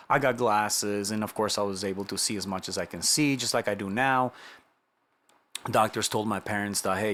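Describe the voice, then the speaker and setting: Man, middle-aged, slightly low voice, casual personal storytelling, conversational, from Romania, checks in with listener. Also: a bit bright. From Romania, moved to the United States at nine. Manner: conversational, checks in with listener with "you know" and rhetorical questions. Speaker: vlogger sharing personal testimony.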